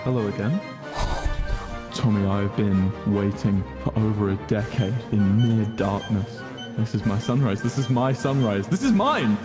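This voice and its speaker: A man's voice: lowish voice, expressive, unhurried, over music. A man declaiming intensely in a theatrical villain roleplay.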